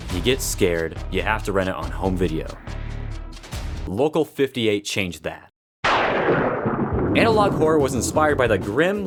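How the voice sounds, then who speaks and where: A young man hosting a video essay with casual, sarcastic, joking commentary that is scripted but loose. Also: fairly low pitch. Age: young adult.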